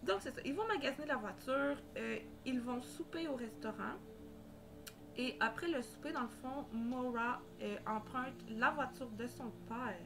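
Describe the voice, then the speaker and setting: A woman's voice: sits high, moderate pace, poor audio. Québécois woman telling a true crime story. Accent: Québécois French. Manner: hesitant, many fillers and restarts. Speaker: true crime podcast host. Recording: loud music bed behind voice.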